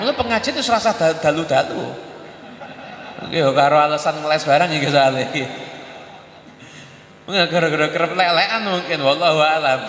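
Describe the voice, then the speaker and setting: A Javanese-accented man in his thirties gives a casual, humorous sermon, slipping between languages and voicing the audience's questions. His voice is bright and a little high. It echoes around a large hall over a faint electrical hum. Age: 30s. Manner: jokey, mixes languages, acts out audience questions. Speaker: preacher. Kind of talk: Islamic sermon.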